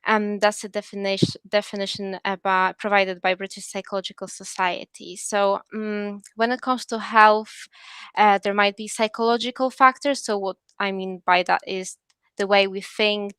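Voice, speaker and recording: Polish-accented young woman explaining clearly. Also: medium pitch, fairly even intonation, normal speed, a bit bright, dry recording. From Poland. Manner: earnest, explanatory, frequent ums and restarts. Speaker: assistant psychologist, guest speaker. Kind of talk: presentation talk.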